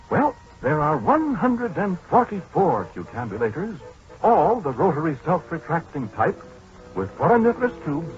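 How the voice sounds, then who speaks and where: An American actor playing a fussy, exasperated middle-aged scientist who speaks hesitantly and excitably in a 1940s radio drama. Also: male voice, on the high side. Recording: muffled 1940s radio broadcast with music.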